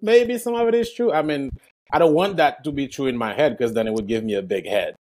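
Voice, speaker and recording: A man's voice: mid-range voice, expressive, a little fast, darkish tone. Haitian-accented man, earnest comedian. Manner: reflective, earnest, swears casually. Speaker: stand-up comedian guest. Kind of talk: casual conversation.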